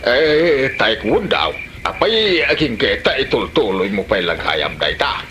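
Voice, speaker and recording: A man's voice: mid-range voice, very animated, unhurried, bright, forceful tone, slight background noise. A man playing a gossipy, easily offended old villager in a comedy drama, with an Ilocano Filipino accent and grumbling interjections. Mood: curious. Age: older. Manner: exclaiming, grumbling, hesitant interjections.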